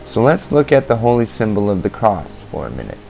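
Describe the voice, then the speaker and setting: A man hosting a podcast, reading scripted narration in an earnest, deliberate manner over a basic amateur recording. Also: lowish voice, sing-song, creaky, darkish tone, rough recording. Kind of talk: scripted narration on the paranormal in old-time radio format. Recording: basic amateur equipment, music underneath.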